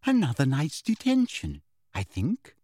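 A man voicing a prim middle-aged witch inspector who sounds falsely sweet and softly threatening. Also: a little high, huge pitch swings, unhurried, slightly rough, no room sound, clean studio sound, exaggerated voice. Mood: sugary, coolly polite. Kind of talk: audiobook character voice.

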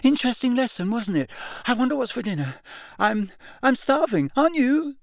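A man voicing a frightened teenage boy who gabbles nervously in an unnaturally high voice. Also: very animated, normal speed, vocal fry, dry recording, studio quality, exaggerated voice. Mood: panicky gabbling.

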